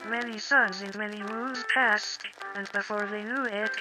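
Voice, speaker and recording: A woman's voice: mid-range voice, fairly even intonation, unhurried, poor audio. A woman storyteller giving a scripted fairy-tale narration. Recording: music under the voice.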